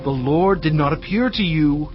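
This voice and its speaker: An actor playing Moses as a hesitant, doubting prophet who pleads and questions. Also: male voice, fairly high pitch, moderate pace, close to an everyday voice. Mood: pleading. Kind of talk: dramatized scripture reading. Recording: muffled, over loud cinematic music.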